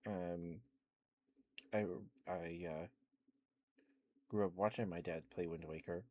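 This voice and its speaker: A young man with a deep, low voice rambles gently in ASMR fashion, close to the microphone. The sound is dry and intimate, with no room around it. He drifts from thought to thought, padding his speech with soft fillers and little laughs. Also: steady tone, slow, no room sound. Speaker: ASMR streamer.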